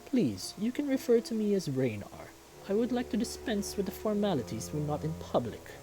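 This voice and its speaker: Guy doing a fatherly animal king for a visual novel, raised pitch, formal n stern, measured, music behind. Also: very animated. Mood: gracious. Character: stately furry animal king, fatherly, calm but stern.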